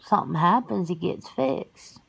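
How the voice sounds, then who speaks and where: A woman voicing a folksy, blunt, centuries-old werewolf homebody in an ASMR roleplay, suspicious and quick to exclaim. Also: lowish voice, expressive, creaky, breathy edge, heavily acted, rough recording. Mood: indignant, sassy.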